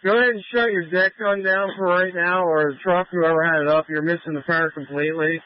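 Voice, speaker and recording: A man's voice: high voice, steady tone, fairly quick, no room sound. Man on tinny fire radio, clipped. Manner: clipped procedural radio talk. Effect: two-way radio. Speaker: fire operations officer. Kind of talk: emergency radio traffic. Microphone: radio handset.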